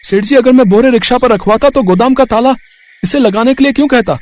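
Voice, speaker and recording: A man's voice: very high-pitched, quick pace, voice pushed up. A man voicing a sly, scheming male shop worker in a moral story. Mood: accusing.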